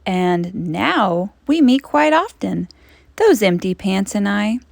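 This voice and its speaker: A woman teacher reading a storybook aloud to children, expressively, with dramatic emphasis and suspenseful drawn-out phrases. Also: mid-range voice, very animated, creaky, dry recording, studio quality.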